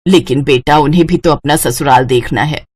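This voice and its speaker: A woman's voice: low-pitched, moderate pace, darkish tone, husky, pitched below the performer's own voice. A woman voicing an elderly, motherly mother-in-law who dotes on her daughters in a family story. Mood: admonishing.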